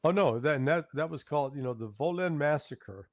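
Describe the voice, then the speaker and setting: A man's voice: on the high side, moderate pace, crowd noise. A man, a former intelligence analyst, talking emphatically as an interview guest, with occasional hesitations. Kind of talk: conversational interview talk.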